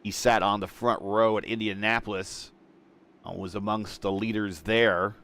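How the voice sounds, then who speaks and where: A man calling play-by-play for an online sim racing broadcast, steady through caution laps and rising in pitch and volume at restarts. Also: moderate pace. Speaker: lead race commentator.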